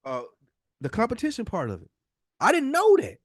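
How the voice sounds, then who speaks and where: A man's voice: extremely high pitch, wildly swooping pitch, normal speed, no echo, clean studio sound. A man with an American accent speaking casually and emphatically as a podcast host, using slang and swearing. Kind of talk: casual, impassioned discussion.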